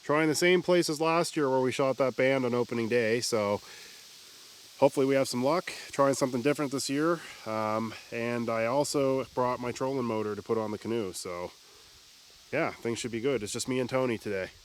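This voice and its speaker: A man's voice: mid-range voice, moderate pace, slight background noise. A man from Nova Scotia, stuffed up from a cold, chatting casually to the camera outdoors at a tidal marsh and often trailing off with "so" and "um". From Nova Scotia, Canada. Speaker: hunting vlogger, channel host. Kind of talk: casual outdoor vlog narration.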